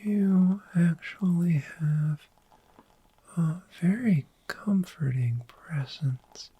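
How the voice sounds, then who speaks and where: A gentle, friendly dragon acted by a woman speaks in a breathy, dark and velvety whisper for a sleepy ASMR roleplay. The delivery is extremely slow and soothing, lingering softly on repeated words. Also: lowish voice, exaggerated voice. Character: friendly, gentle, sleep-loving dragon hoarding cozy bedding. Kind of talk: soft-spoken ASMR roleplay.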